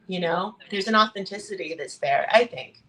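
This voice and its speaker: A woman with a mid-pitched voice talks as an interview guest about her craft. She is earnest and reflective, speaking conversationally and often seeking agreement as she makes a point. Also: normal speed, fairly bright tone, rough recording. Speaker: guest voice actor, audiobook narrator.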